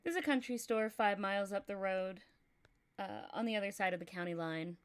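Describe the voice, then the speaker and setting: A woman's voice: medium pitch, a little fast, clear, resonant tone. A young woman from Texas with a Texan accent, reading a story aloud on a livestream and chatting casually, laughing often.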